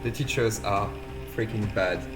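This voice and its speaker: A man from France with a French accent, a game developer chatting casually and haltingly during a live stream while playing. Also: lowish voice. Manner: halting, self-deprecating, restarts phrases. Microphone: voice call. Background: game music.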